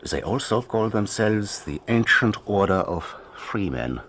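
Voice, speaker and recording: An actor playing a stern, protective older father in a radio drama, grave and warning, with a husky voice. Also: on the low side, big pitch swings, unhurried, a bit bright, a little hiss or hum.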